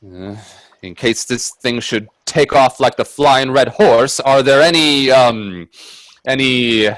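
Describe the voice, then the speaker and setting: An actor playing a nervously polite, incredulous gentleman guest in a radio play, stammering and deferential. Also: medium pitch, takes it slowly, dry recording, low-quality recording. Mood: nervous, puzzled. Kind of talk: radio play acting with narration.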